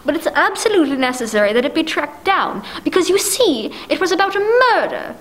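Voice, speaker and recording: A woman's voice: high voice, sing-song, brisk. A woman playing a nervous, indignant, imperious housewife who stammers insistently, in 1940s radio play style. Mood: urgent. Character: nervous, demanding, indignant wealthy housewife alone at night. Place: dark stage, masked, speaking into prop telephone.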